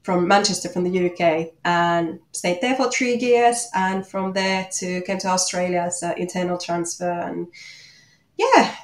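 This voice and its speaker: Echoey, low-voiced Finnish woman, halting. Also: steady tone. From Finland. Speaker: interview guest, watchmaker and small business owner. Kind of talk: unscripted interview answers. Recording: echoey room.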